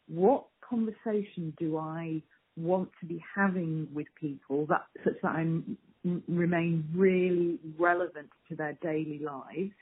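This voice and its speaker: A woman's voice: lowish voice, husky. A woman answering interview questions as a strategy executive, earnest and emphatic, on a poor-quality muffled recording. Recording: poor, muffled phone-like lo-fi sound.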